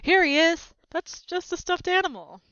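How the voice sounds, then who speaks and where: A woman voicing rowdy, nosy, taunting young boys from a storybook, loud and exclamatory. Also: extremely high pitch, lively intonation, normal speed, dull audio, voice pushed up. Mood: excited, nosy. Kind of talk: storybook character voices.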